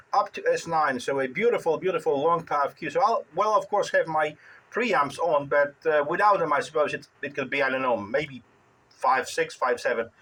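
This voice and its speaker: A man in his forties with a Polish accent, chatting casually as a ham radio operator in a halting way, often repeating words. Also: fairly high pitch, fairly bright tone, slightly rough, poor audio. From Poland. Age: 40s. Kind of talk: casual radio contact chat.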